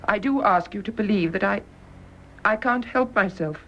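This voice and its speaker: An actress playing a bitter, unyielding British nurse in a radio drama, intense and pointed. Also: female voice, medium pitch, normal speed, fairly bright tone, slight background noise, lightly acted. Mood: anguished. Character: bitter, accusing private nurse, anguished yet unyielding. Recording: thin, phone-like band-limited radio recording.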